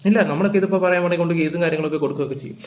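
Very fast, indignant ranting man. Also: a little high, darkish tone. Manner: indignant, lecturing with rhetorical questions. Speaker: reaction video commentator. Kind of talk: informal ranting commentary. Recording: echoey room.

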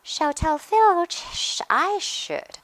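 A mischievous male storybook poltergeist, acted out by a woman, pitched very high and sing-song. He taunts with cackling glee and mock innocence. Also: animated, very slow, fairly bright tone, no echo, heavily acted. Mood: mock saintly.

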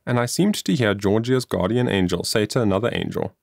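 A man's voice: fairly low pitch, a little fast, vocal fry, no room sound, clean studio sound. A man narrating a children's moral story as an audiobook, reading earnestly and expressively from a script.

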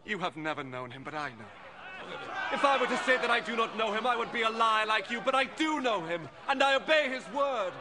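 A man's voice: sits high, very animated, a little fast. An actor in his thirties playing an impassioned young preacher confronting doubters in a film drama, declamatory and earnest. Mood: firm, earnest. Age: 30s. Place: open stone courtyard. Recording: noisy outdoor crowd ambience.

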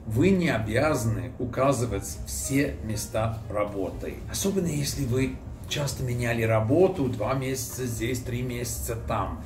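Man in his fifties giving lively advice, roomy sound. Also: mid-range voice, moderate pace, far from the mic. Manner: lively, direct, talks with his hands while holding a glass. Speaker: video host. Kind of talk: casual advice vlog to camera. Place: indoors in a decorated restaurant room. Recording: roomy, a little off-mic, music underneath.

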